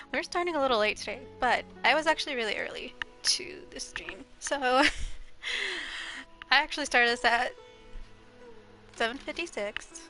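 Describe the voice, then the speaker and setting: A woman's voice: high-pitched, moderate pace, long pauses, sharp tone. A young woman streaming a video game from home over a headset, a little congested, rambling casually with frequent ums and giggles. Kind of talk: casual gameplay live stream chat. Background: faint game music.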